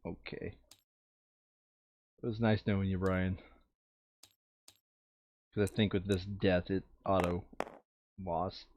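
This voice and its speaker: A man's voice: sits low, little pitch movement, takes it slowly, pauses often, darkish tone, highs cut off, a little hiss or hum. A man playing a board game, casually narrating the steps and muttering asides to himself as he works through them. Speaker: tabletop game player and narrator.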